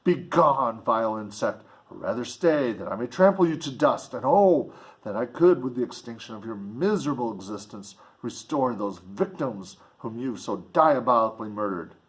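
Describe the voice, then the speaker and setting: A man's voice: mid-range voice, lively intonation, moderate pace, natural voice. A man voicing an anguished, furious scientist in a storybook reading, with vehement, archaic declamation. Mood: furious contempt. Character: anguished, furious male scientist denouncing his creation.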